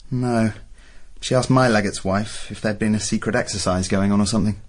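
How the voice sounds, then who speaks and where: An actor playing an earnest young Roman army officer in a radio drama, polite and understated. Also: male voice, fairly low pitch, fairly even intonation, a little fast, lightly acted. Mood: concerned.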